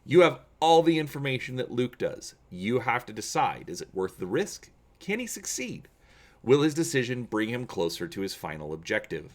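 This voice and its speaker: A man hosting a gaming channel, explaining to viewers and reading aloud dramatically with expressive emphasis. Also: medium pitch, normal speed.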